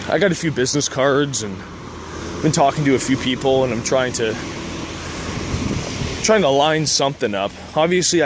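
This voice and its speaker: A young man from Canada talking casually while walking outdoors, rambling and laughing often. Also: medium pitch. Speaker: vlogger. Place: city street outdoors. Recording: outdoor street noise. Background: street traffic.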